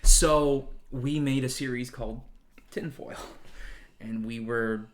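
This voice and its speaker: A man's voice: medium pitch, steady tone, takes it slowly. A man hosting a casual chat, telling stories in a jokey way, often laughing and swearing freely. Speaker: podcast host. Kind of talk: casual chat, storytelling among friends.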